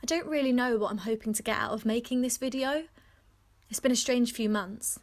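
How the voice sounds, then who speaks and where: A woman's voice: a little high, natural voice. A woman acting a lonely, reflective diarist in an earnest monologue. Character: lonely but hopeful woman living alone in lockdown. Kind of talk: acted reflective diary monologue.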